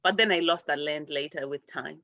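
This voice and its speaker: A woman's voice: sits low, a little fast, bright, forceful tone, no room sound, muffled recording. A woman host from Zimbabwe, rambling loosely in a spiritual chat. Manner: rambling, laughs through her words.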